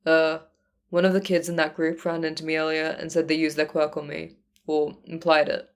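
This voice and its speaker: Woman as a dry, low-voiced tired man. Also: flat delivery, normal speed. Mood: polite, awkward. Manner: dry, understated. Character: tired, dry, awkwardly polite adult man.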